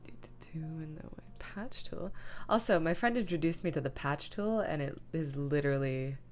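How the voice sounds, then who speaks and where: A woman hosting a livestream, casually talking through her computer work and half muttering to herself, with soft music behind her. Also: deep voice, moderate pace, slight background noise. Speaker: livestream host. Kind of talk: casual livestream chat narrating image editing. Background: lo-fi music, computer keyboard typing.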